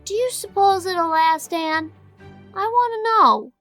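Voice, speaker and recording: A woman's voice: extremely high pitch, slow, a bit bright, soft background music, exaggerated voice. A woman voicing an inquisitive, outspoken little farm boy in an audiobook, talking in an earnest, childlike, questioning way. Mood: eager, chatty. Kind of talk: audiobook character acting.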